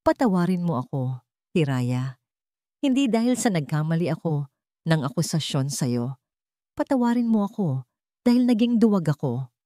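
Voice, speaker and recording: A woman's voice: sits low, sing-song, normal speed, long pauses, dark tone, dry recording, clean studio sound. Woman voicing a desperate, remorseful rich man pleading. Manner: earnest, pleading. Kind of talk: dramatic audio storybook.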